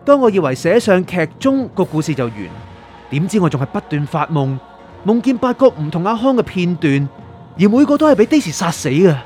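A man's voice: high voice, sing-song, a little fast, a little mellow. An actor with a Hong Kong Cantonese accent playing an anxious, curious male writer who narrates his story introspectively in a radio drama. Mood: brooding. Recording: music under the voice.

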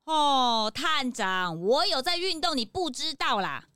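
A childlike, inquisitive young sidekick acted by a woman chatters in a high voice with swinging intonation. It is a children's detective drama. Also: big pitch swings, a little slow, pushed and bright, dry recording, studio quality, heavily acted. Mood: chatty. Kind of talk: children's mystery audio drama.

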